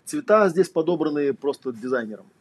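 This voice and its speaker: Husky, fast-talking man lecturing. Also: fairly high pitch, animated. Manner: conversational, filler-heavy, rhetorical questions. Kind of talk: casual lecture.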